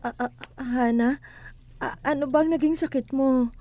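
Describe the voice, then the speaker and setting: A woman's voice: sits high, steady tone, unhurried, warm tone. An actress playing a despairing abandoned wife and mother, plaintive and emotional, in a religious radio drama. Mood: doubtful, sad.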